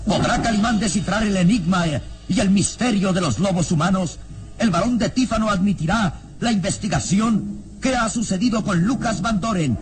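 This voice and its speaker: A man's voice: sits high, moderate pace, noisy background. A man narrating a radio serial from a script in a theatrical, suspenseful style.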